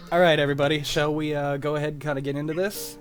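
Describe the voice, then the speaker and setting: A man's voice: fairly high pitch, a bit bright. A young man hosting a table-read in casual, informal, sweary chat. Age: young adult. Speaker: host of a script table-read.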